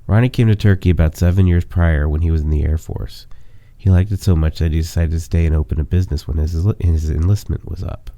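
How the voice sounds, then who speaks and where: A man's voice: low-pitched, fairly even intonation, fast talker, dark tone, dry recording. A man in his late thirties with a cold, hosting a podcast in a stuffy, nasal voice, rambling with many fillers before reading a memoir story. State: sick with a cold. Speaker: podcast host. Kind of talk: casual monologue and memoir reading.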